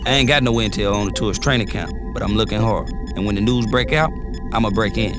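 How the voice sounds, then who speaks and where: A man's voice: lowish voice, fast, close to an everyday voice. An actor playing a casual, slangy male tactical adviser who trades wisecracks while giving advice in a game cutscene. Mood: wry. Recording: music bed under the voice.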